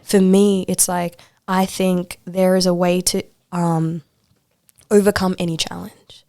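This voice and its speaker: A woman's voice: lowish voice, level tone, a little slow, warm tone, dry recording, studio quality. A woman chatting casually as an interview guest, informal and emphatic, repeating phrases for stress. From Australia. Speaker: podcast guest, poet and social worker.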